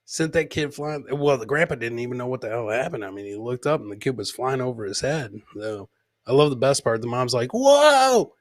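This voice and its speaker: A man's voice: mid-range voice, fairly bright tone, no echo, clean studio sound. A man hosting a comedy podcast, commenting casually on funny clips and repeating his phrases for comic effect.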